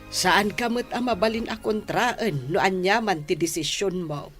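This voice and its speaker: Actress doing older ilocano mom, slow and grave, patient, music behind. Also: female voice, mid-range voice, natural voice. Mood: solemn advising. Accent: Filipino, Ilocano. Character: older mother, grave, patient, advising her daughter. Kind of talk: Ilocano radio drama acting.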